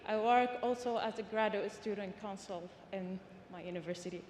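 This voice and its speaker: A woman's voice: medium pitch, normal speed, darkish tone, slight background noise. A young woman in her twenties, a graduate student, politely asking a panel a formal question from the audience. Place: conference hall. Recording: echoey hall, faint ambience.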